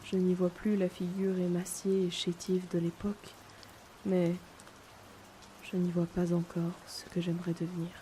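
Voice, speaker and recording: A woman playing a melancholic young noblewoman haunted by her past in an audio drama, speaking in a hesitant, hushed, wistful way. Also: lowish voice, normal speed, slight background noise, close to an everyday voice. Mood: pensive, melancholic. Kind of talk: slow introspective audio drama monologue. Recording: steady loud rainfall behind the voice. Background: rain.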